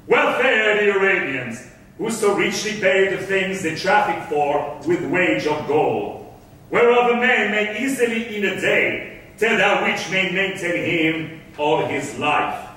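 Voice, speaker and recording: Scheming rich merchant in old verse play, high theatrical voice, super echoey and distant. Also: male voice, animated, slow pace, sharp tone, slight background noise, full character voice. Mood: gloating, calculating. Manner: declamatory, savoring each phrase. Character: scheming, gloating rich merchant of Malta, grandly self-satisfied. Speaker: stage actor. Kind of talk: theatrical Elizabethan verse drama staged reading. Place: stage of an auditorium. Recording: echoey concert hall from far off.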